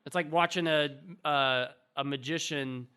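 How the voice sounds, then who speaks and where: A man's voice: on the high side, fairly even intonation, a little slow, sharp tone, clean tone. A man co-hosting a film podcast in loose, casual conversation. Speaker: podcast co-host.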